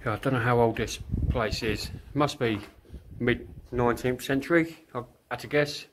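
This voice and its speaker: A man casually narrating as he walks through a derelict countryside house, thinking aloud in a quiet, musing way. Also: mid-range voice, normal speed, a little hiss or hum. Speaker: urban explorer vlogger. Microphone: handheld camera, filming while walking.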